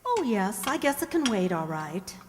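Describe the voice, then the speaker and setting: A woman's voice: medium pitch, sing-song, moderate pace, faint music behind, lightly acted. A woman playing an earnest, eager young daughter in a period radio play. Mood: eager, hesitant. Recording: echoey hall stage.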